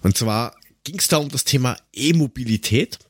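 A middle-aged man chatting casually on a humorous podcast in a halting, wry storytelling manner. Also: medium pitch, lively intonation, husky, no echo, studio quality. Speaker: podcast host.

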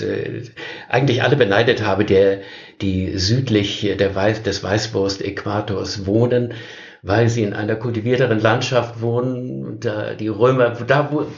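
A man's voice: lowish voice, reverberant, lo-fi sound. A man from northern Germany chatting on a podcast panel, musing and teasing with many hesitations. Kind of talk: casual remote chat.

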